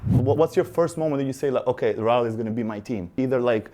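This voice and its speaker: A man's voice: mid-range voice, quick pace, warm tone. A man, chatting casually as a contestant in a group game. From Albania. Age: 30s. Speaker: contestant in a fan panel game.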